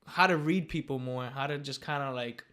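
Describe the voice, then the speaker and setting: A young man, born in Puerto Rico, telling stories in a relaxed, slangy podcast chat full of swearing. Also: medium pitch, moderate pace. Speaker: guest. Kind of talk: casual chat.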